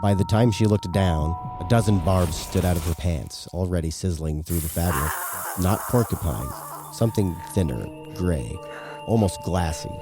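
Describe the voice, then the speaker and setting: A man's voice: sits low, normal speed, over music. A man reading a scripted horror story as narrator of an audio drama. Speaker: podcast producer and drama narrator.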